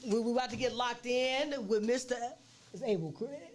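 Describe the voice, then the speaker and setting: A woman's voice: on the high side, a little fast. Rambling woman host, lots of fillers. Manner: rambling, repeats words, many fillers. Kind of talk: casual interview talk.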